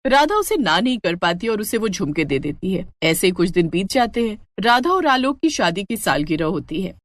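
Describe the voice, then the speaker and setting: A woman storyteller reads a scripted family tale. Her intonation is very lively at a medium pitch, and the sound is dry and close. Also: normal speed, no echo.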